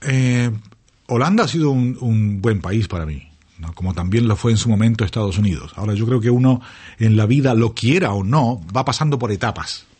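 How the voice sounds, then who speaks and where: An older man chatting as a guest in a radio interview, reflective and unhurried, with many fillers and tag questions. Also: fairly low pitch, expressive, darkish tone, breathy edge. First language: Italian is his second mother tongue. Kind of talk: relaxed conversational interview.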